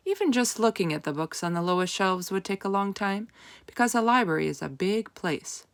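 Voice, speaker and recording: A woman reading a children's storybook aloud as narrator, with expressive, deliberate emphasis. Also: medium pitch.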